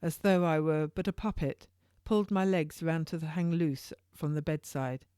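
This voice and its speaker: Low-pitched woman narrating, dry sound. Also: steady tone, normal speed, warm tone, smooth, no echo. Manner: informal read-aloud with occasional stumbles. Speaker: author reading own novel. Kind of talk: first-person historical audiobook narration.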